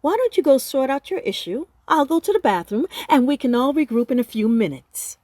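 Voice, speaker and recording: A polite, composed woman in a radio comedy. Her voice is high and lilting, with a very dry sound. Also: animated, a little fast, a little mellow, dry recording. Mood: polite, hurried. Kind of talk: radio play acting.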